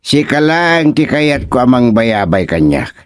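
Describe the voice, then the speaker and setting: A man playing a confident, jolly king in a radio comedy drama, speaking theatrically with drawn-out exclamations. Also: mid-range voice, sing-song, clean tone, no echo, clean studio sound. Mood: commanding. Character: confident, jolly king going hunting with a medallion.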